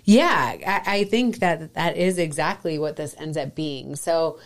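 A middle-aged woman co-hosting a podcast in casual chat, hoarse and raspy from a cold, chatty and quick to laugh. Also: low voice. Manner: laughs often, chatty and playful. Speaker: podcast co-host.

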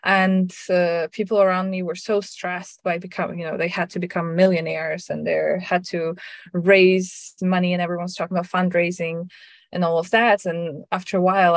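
Reflective woman from Russia, low and dry. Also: vocal fry, dry recording. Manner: reflective, with frequent restarts and soft laughs. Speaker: guest sharing her story. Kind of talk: casual chat.

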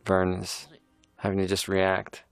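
A middle-aged actor and writer chatting playfully on a film commentary. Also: male voice, low-pitched, monotone, a little slow, creaky, smooth, soft background music. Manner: joking, playful, breaks into voices.

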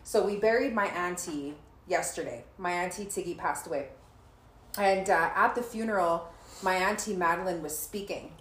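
A woman's voice: fairly low pitch, normal speed, fairly bright tone. Woman host with a roomy sound. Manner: storytelling, reflective, laughs often. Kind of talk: warm conversational podcast chat.

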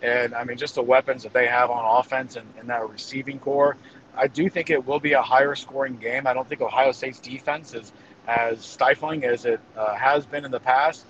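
A man with a medium-pitched voice talks through football predictions as a guest analyst. He is casual and hesitant, working out his thoughts aloud. The sound is slightly muffled and lo-fi, with faint noise in the background. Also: fairly even intonation, normal speed, fairly bright tone. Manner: hesitant, thinking aloud as he goes. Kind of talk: casual game-prediction commentary.

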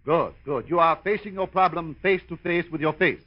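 An actor playing an earnest, pompous psychiatrist lecturing a patient in an old time radio comedy. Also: male voice, a little high, moderate pace, lightly acted. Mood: soothing, pompous. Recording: dull vintage radio broadcast recording.

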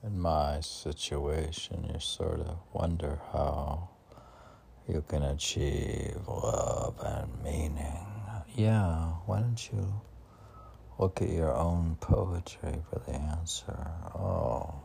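A man's voice: very deep voice, takes it slowly, gravelly, mellow, a little hiss or hum. A man reading his own poetry aloud and musing to himself between lines, often repeating phrases. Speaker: poet reading own work. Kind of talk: poetry reading with muttered asides.

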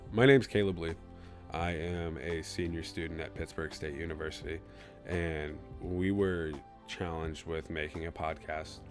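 A man's voice: low-pitched, darkish tone. A young man from Kansas City in his twenties, hosting a solo podcast in an earnest, reflective, loosely rambling way. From Kansas City, USA. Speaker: podcast host.

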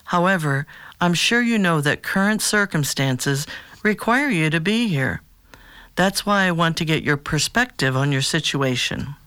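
Calm, probing therapist, played by an actor. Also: mid-range voice, clear, resonant tone, dry recording, clean studio sound. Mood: calm, direct. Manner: measured, gently questioning. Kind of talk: audio drama acting.

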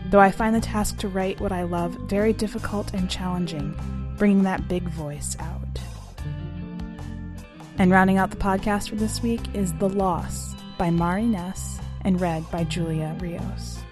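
A woman's voice: mid-range voice, steady tone, measured pace. A woman reading scripted introductions and short biographies as a podcast presenter. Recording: music playing behind the voice.

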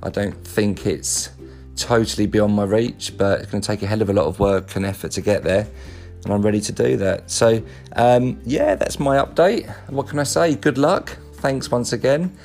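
A man sending a recorded congratulatory voice message to a podcast host, wry and joking, with frequent filler pauses. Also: fairly low pitch, gravelly, a little mellow, faint music behind. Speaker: former podcast guest.

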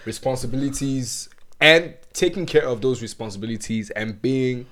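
A young man co-hosting a podcast in relaxed casual chat, often dropping "you know" and "man". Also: medium pitch, moderate pace. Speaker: podcast co-host.

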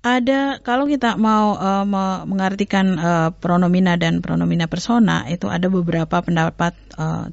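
A woman language researcher speaking as a guest on an educational radio talk, explaining at length with frequent pauses and self-corrections. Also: lowish voice, gravelly, no room sound. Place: radio studio. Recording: dull lo-fi radio studio.

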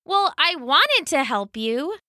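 A woman's voice: high voice, sing-song, slow, bright, forceful tone, dry recording, studio quality, pitched above the performer's own voice, exaggerated voice. A woman voicing an earnest, eager young girl in a storybook reading.

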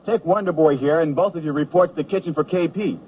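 An actor playing a bullying, sarcastic army sergeant who barks curt orders in a radio comedy. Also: male voice, on the high side, fast, natural voice. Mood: scornful. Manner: barking, curt, commanding. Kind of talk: 1970s radio comedy acting. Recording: dull radio recording, faint noise.

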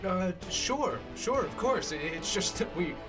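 A man's voice: high voice, animated, measured pace, over music. An actor playing an anxious, hesitant husband stranded with his car in an audio drama. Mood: hesitant, placating. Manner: hesitant, placating.

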